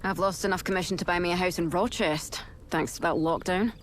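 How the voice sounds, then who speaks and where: An actress playing a pragmatic, determined mercenary hunter in a video game cutscene, wry and matter-of-fact. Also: female voice, fairly low pitch, fairly even intonation, brisk, slight background noise, natural voice. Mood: grim resolve.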